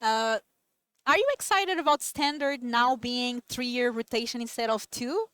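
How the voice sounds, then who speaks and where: A woman's voice: a little high, unhurried, a bit bright, smooth, dry recording. A woman whose first language is Portuguese, co-hosting a live stream and chatting upbeat with viewers. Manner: upbeat, talks to chat. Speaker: event co-host. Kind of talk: casual live stream chat.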